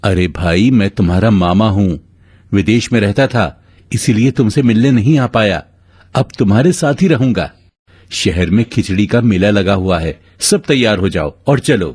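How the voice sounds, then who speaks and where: Man acting a husky, mellow kindly stranger. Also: lowish voice, lively intonation, normal speed, dry recording, studio quality. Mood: friendly. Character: kind, mysterious male stranger turned devoted adoptive brother. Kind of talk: animated moral story dialogue.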